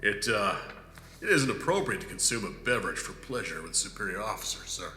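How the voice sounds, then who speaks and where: An actor playing a dutiful, loyal security chief in a sci-fi audio drama, formal, deferential and hesitant. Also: male voice, lowish voice, big pitch swings, brisk, bright, forceful tone, soft background music, heavily acted. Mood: dutiful, stiff. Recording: echoey hall, faint background noise.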